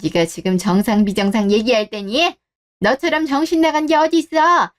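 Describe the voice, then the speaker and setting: A woman's voice: on the high side, fairly even intonation, moderate pace, natural voice. A woman playing a scornful, possessive middle-aged mother-in-law in a scripted radio drama, scolding and accusing. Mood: furious, scornful.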